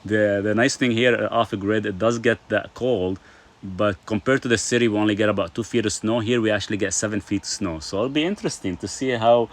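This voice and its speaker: A middle-aged man from Lebanon hosting a casual live stream, reading viewer comments aloud and answering them in a chatty way, full of fillers. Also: lowish voice.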